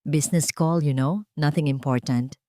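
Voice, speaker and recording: A rich Filipino wife with something to hide, as a woman storyteller acts her out. She is breezy and evasive in a low female voice, recorded dry for an audio drama. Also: normal speed, dark tone, dry recording, lightly acted. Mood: falsely casual.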